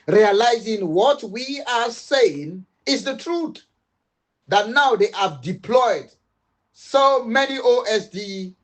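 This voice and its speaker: A man's voice: high voice, rough recording. A middle-aged man giving an impassioned political appeal, emphatic and repetitive, switching between English and Dutch. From Sierra Leone. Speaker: political commentator.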